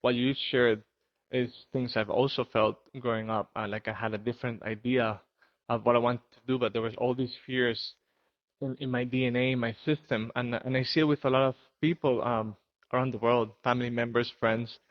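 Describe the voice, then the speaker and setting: A man's voice: medium pitch, flat delivery, a little fast, no room sound. Earnest male podcast host, dry muffled sound. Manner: earnest, enthusiastic, says hmm a lot. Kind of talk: casual interview. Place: home in Costa Rica.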